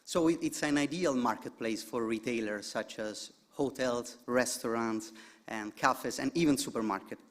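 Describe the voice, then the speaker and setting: A man from Italy, giving a direct pitch presentation and asking the audience questions. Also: normal speed. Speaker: student presenter.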